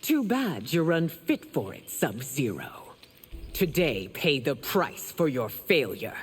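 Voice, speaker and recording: A woman playing a regal, stern empress in a video game, speaking formally and with measured command. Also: deep voice, big pitch swings, husky, heavily acted. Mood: condemning. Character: regal, stern warrior empress, compassionate but wrathful. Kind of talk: dramatic video game acting. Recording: long reverb, faint background noise.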